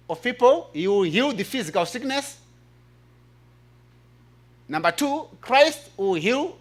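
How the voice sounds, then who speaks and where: High-pitched, bright-voiced African man presenting. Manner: earnest, emphatic, repeats key phrases. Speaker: presenter reading a paper at a church health forum. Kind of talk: preaching-style conference presentation.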